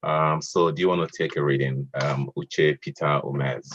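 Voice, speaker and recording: A man moderating an online poetry event, reading a poem aloud in a measured way and hesitating with fillers between speakers. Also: rumbling low, steady tone, fairly bright tone, slight background noise. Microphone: online video call audio. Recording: muffled lo-fi call audio.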